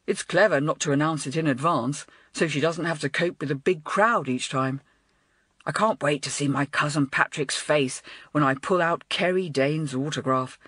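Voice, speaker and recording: A woman reading aloud and voicing a confident, breezy schoolboy in a lower, quick, self-assured voice. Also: fairly bright tone, husky, dry recording.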